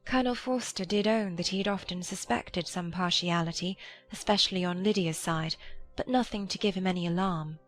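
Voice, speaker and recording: Woman acting a gentle, low-voiced young gentlewoman. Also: a little fast, faint music behind, lightly acted. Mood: troubled, gentle. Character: gentle, forgiving elder sister, a Regency gentlewoman. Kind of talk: dramatized audiobook acting.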